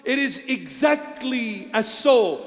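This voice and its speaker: A man's voice: extremely high pitch, animated, slow pace, long reverb tail. Dramatic preaching man. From Iraq. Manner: dramatic, swings from loud exclamations to hushed lines. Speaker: bishop preaching. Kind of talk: sermon.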